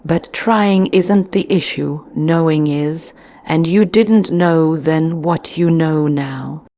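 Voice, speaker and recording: A woman narrating a scripted guided meditation in a deliberate, soothing manner with frequent pauses. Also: low voice, dark tone.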